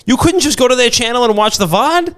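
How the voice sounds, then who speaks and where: A man's voice: extremely high pitch, animated, quick pace, fairly bright tone. A man co-hosting a podcast, telling stories and joking in casual banter. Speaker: podcast co-host.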